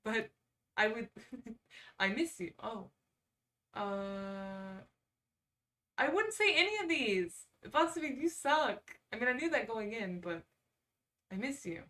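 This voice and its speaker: A woman's voice: on the high side, sing-song, slow pace, pauses often, a bit bright, distant mic. A woman hosting a casual livestream, chatting informally and reacting off the cuff with sarcastic asides. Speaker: livestream host. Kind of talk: casual livestream chat, reading quizzes aloud.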